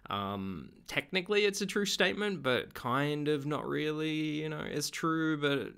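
A young man, slightly hoarse from being sick, chatting in a relaxed, rambling way with lots of ums in a video diary at home. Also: fairly high pitch, unhurried. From Australia. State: slightly hoarse, getting over an illness. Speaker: vlogger.

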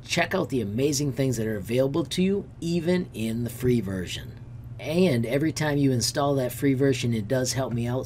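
A man's voice: medium pitch, a little mellow, slight background noise. A man in his thirties, a teacher hosting his own channel, giving an enthusiastic screen-share update and calling viewers friends. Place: indoor room.

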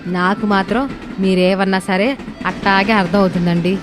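A woman acts out a stubborn middle-aged mother-in-law from a cartoon moral tale. She grumbles with bitter sarcasm, over clearly audible music. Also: mid-range voice, steady tone, normal speed, close to an everyday voice. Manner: complaining, sarcastic. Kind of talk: animated moral story voice acting.

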